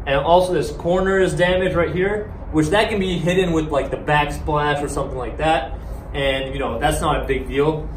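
A man in his twenties talking casually to camera, explaining things informally. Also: a little high. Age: 20s. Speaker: vlogger. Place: inside an empty box truck. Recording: echoey metal space, background noise.

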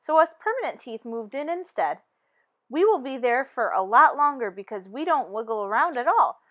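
A woman's voice: sits high, big pitch swings, normal speed, a bit bright, dull audio. A woman voicing a smug, bratty young girl tooth who recites a school report in a storybook. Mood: smug, reciting.

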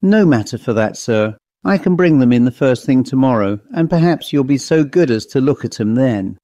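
A man's voice: mid-range voice, lively intonation, moderate pace, mellow, no echo, close to an everyday voice. A man voicing an old country servant who speaks in broad, ungrammatical rural dialect. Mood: deferential. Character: old rustic male servant telling a grim tale.

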